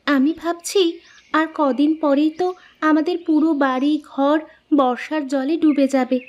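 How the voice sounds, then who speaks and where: A woman's voice: high-pitched, fairly even intonation, unhurried, a little mellow, dull audio. A woman voicing a fretful, earnest young village schoolgirl with a plaintive, questioning manner in a cartoon. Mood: worried. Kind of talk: Bengali moral-story cartoon acting. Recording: music behind the voice.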